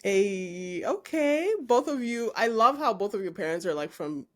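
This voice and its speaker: A woman's voice: mid-range voice, animated, measured pace. A woman hosting a casual interview podcast, bantering playfully with her guests and laughing often. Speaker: podcast host.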